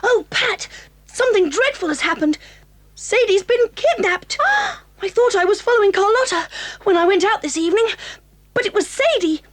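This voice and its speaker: A woman's voice: very high-pitched, very animated, moderate pace. A piping, distressed schoolgirl. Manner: breathless, fretful. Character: distressed, guilty young schoolgirl. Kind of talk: children's radio drama acting.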